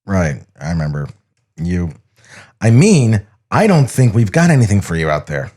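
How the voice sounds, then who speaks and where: Halting, understated animator, read by a man. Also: low-pitched, sing-song, warm tone, pitched below the performer's own voice. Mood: subdued, hesitant. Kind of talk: acted screenplay table read.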